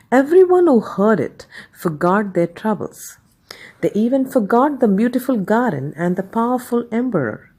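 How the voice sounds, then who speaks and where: A woman narrating a scripted bedtime story as a storyteller, measured and expressive. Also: medium pitch, breathy edge.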